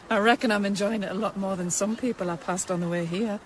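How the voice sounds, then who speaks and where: A woman's voice: medium pitch, steady tone, a little fast, a little hiss or hum, natural voice, poor audio. An actress playing a down-to-earth, wry woman journalist in a radio drama, with a Northern English accent. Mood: dry, probing. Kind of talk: radio drama dialogue and first-person narration.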